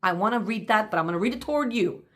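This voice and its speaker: A woman gives a faith-based motivational talk in a mid-pitched voice. She speaks deliberately and with emphasis, addressing the listener directly, as if coaching them through notes from her own journal. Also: lively intonation, fairly bright tone.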